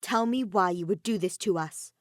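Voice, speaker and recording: A menacing teenage girl assassin, voiced by a woman reader in a story reading. She hisses cold, curt threats at a middle pitch, close and dry. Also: normal speed, no room sound, lightly acted.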